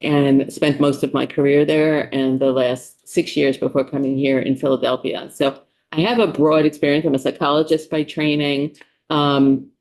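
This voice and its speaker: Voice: medium pitch, darkish tone. An official with a New York City accent testifying before a legislative committee, speaking conversationally with frequent ums and restarts. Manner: conversational, many ums, restarts mid-sentence. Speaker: state official testifying as a witness.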